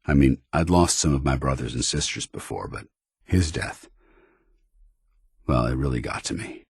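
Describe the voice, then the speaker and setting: A man playing a stern, earnest soldier who is secretly synthetic, speaking in a formal, measured military manner for a video game. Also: rumbling low, vocal fry, slightly rough, dry recording, studio quality, heavily acted. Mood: somber, earnest. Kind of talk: video game companion dialogue, dramatic acting.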